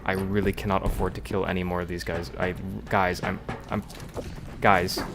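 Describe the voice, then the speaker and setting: A man's voice: low voice, level tone, a little fast. A man livestreaming a video game, muttering casual commentary to himself with exasperated, profane grumbling. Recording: loud music behind the voice. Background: loud music.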